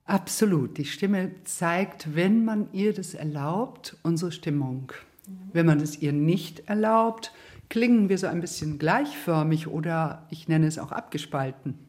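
A woman voice therapist explaining calmly and in a measured way in an interview. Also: on the low side. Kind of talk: interview answer.

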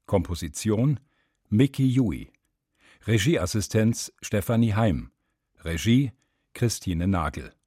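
A man reading scripted closing credits as a radio announcer, in a measured, formal delivery. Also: lowish voice, animated, mellow, no echo, studio quality. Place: dry studio.

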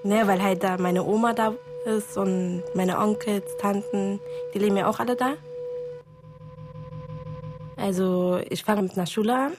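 Woman from lebanon, mid voice, relaxed casual interview talk with fillers, music playing under. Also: mid-range voice, a little slow, pauses often.

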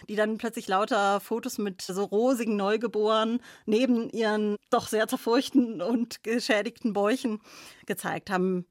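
A woman's voice: fairly high pitch, no echo, studio quality. A woman co-hosting a discussion, opinionated and questioning, often ending points with a checking "ja?". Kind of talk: discussion talk.

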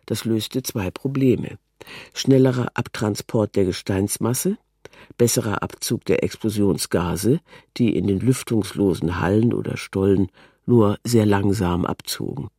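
A man's voice: lowish voice, unhurried, warm tone, slightly rough, no echo, clean studio sound. A man reading a scripted literary documentary narration in a sober, factual manner. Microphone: close studio microphone, dry.

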